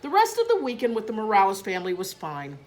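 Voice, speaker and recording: A woman reading a children's story aloud as a teacher, in an expressive narrating style. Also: medium pitch, quick pace.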